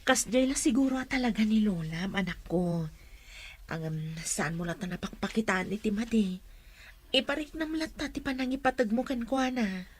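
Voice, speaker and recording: Husky gentle mother. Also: a little high, moderate pace. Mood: soothing. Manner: calm, soothing, motherly. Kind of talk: radio drama acting.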